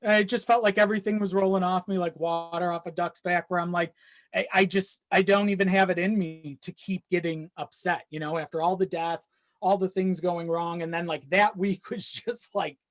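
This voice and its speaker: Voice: medium pitch, fairly even intonation, normal speed, dry recording. Rambling storyteller, dry close sound. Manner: rambling, often chuckling while talking, swears casually. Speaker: podcast guest. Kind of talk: casual chat, personal storytelling.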